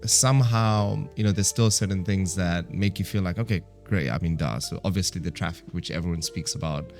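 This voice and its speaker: A man's voice: low voice, brisk, a little mellow, soft background music. A man with an East African accent talking casually and reflectively in conversation, as a guest on a talk show. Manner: reflective, talkative, peppers English with some Swahili.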